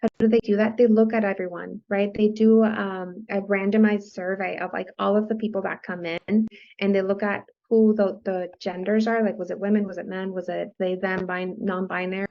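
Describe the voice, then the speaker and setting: A woman raised on the US-Mexico border whose first language is Spanish talks with lively enthusiasm, explaining ideas and checking in with "right?". Her voice carries a gravelly fry. The lo-fi podcast audio sounds dull. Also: mid-range voice, normal speed. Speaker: guest, financial coach. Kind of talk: conversational interview.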